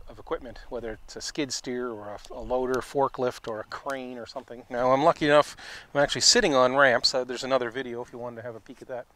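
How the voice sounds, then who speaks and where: A man in his forties, a sawmill owner, talking casually to the camera outdoors in a wooded yard, relaxed and practical in manner. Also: medium pitch. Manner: relaxed and practical, explains with hands. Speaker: sawmill owner and video host.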